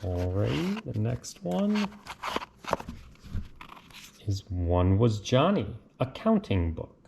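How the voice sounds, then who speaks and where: A man with a fairly low voice reads a children's picture book aloud. His delivery is slow and lilting, with a casual touch. There is light noise and clicking in the recording. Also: sing-song, vocal fry. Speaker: reader of picture books. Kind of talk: read-aloud children's storybook narration with casual asides.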